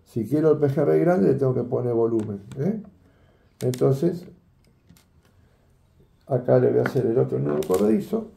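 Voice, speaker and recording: A man's voice: medium pitch, lively intonation, normal speed, vocal fry, long pauses, mellow, reverberant. An older man, teaching a fishing rig setup in a chatty tutorial and often asking his viewers "¿eh?" and "¿ves?". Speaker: fishing instructor. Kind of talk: hands-on tutorial demonstration. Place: indoor workroom at a table.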